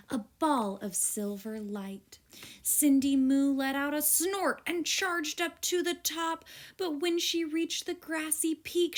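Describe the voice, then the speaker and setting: A woman reading a children's picture book aloud as a teacher would, in an expressive, deliberate storytelling style. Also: sits high.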